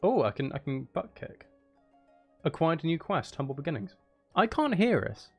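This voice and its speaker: A man's voice: fairly high pitch, sing-song, normal speed, husky, faint music behind. A man who streams games, chatting casually and rambling in a self-deprecating way. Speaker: game streamer and audiobook narrator. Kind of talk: casual livestream chat and game commentary.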